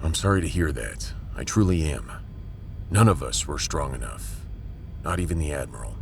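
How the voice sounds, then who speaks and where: A man's voice: rumbling low, expressive, moderate pace, vocal fry, slight background noise, exaggerated voice. A man playing a firm, wry starship captain with a dry, authoritative manner in a sci-fi audio drama. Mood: solemn.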